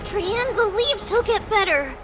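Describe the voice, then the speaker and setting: A woman's voice: very high voice, lively intonation, a little slow, over music. A woman voicing a cheerful childlike little girl guide in a video game, talking in a singsong, playful way. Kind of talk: video game character acting.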